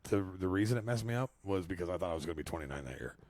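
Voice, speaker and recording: A man's voice: deep voice, animated, racing, darkish tone, slight background noise. A man in his forties co-hosting a casual podcast, rambling with lots of fillers and easy swearing. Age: 40s. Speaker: podcast co-host. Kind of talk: casual chat.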